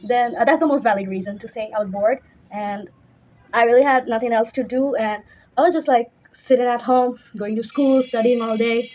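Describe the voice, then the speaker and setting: A woman's voice: a little high, moderate pace, darkish tone, poor audio. A fifteen-year-old young woman, chatting casually as a YouTuber answering viewer questions. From Bangladesh, raised mostly in Dhaka. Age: teenager, fifteen.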